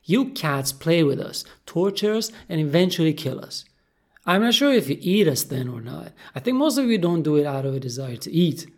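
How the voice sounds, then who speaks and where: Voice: medium pitch, big pitch swings, normal speed, a little mellow, pitched above the performer's own voice. A storyteller voicing a touchy, chatty little female pet goldfish in an emphatic, dramatic manner. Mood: accusing.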